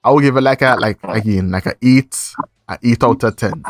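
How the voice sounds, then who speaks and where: A man's voice: mid-range voice, a little fast, no room sound, studio quality, soft background music. A man with a Trinidadian accent hosting a casual movie review chat, speaking in slangy, repetitive phrases. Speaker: podcast host.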